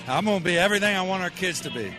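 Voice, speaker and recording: A man's voice: sits high, lively intonation, very fast. A male football coach with a slightly raised voice, answering emphatically and confidently on the sidelines. Music sits under the exchange. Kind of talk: sideline interview. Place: football stadium, outdoors.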